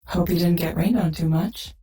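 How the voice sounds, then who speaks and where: An actress playing a composed virtual counseling proxy in a visual novel game, asking questions in a measured, polite way. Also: female voice, fairly low pitch, fairly even intonation, mellow, lightly acted. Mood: calm, neutral. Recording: slightly distant, music behind. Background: music.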